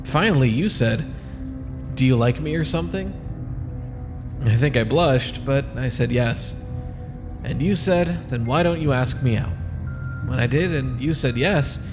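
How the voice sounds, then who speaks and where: A man's voice: mid-range voice, a little slow, pauses often, lightly acted. Man acting a lonely, sickly young man, reverberant. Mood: tender, sorrowful. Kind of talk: dramatic comic dub acting. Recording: long reverb, music behind.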